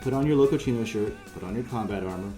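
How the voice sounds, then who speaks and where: A man with a lowish, mellow voice presents a project in a relaxed, conversational way. Light guitar music plays behind his informal narration. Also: fast. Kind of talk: casual promotional narration.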